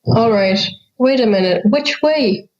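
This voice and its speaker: A fairy-tale schoolgirl in an audio drama, played by a young woman, teasing and self-conscious. Her voice sits fairly high and comes through slightly lo-fi, with a questioning, chatty delivery. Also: lively intonation, unhurried, darkish tone. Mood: uneasy. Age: young adult.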